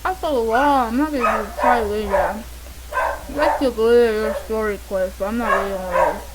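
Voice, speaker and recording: A woman's voice: fairly high pitch, moderate pace. A young woman in her teens giving casual gameplay reactions and reading along at home. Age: teens, high-school student. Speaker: gameplay commentator. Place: at a desk at home. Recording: noisy home recording, dog barking. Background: dog barking, game audio.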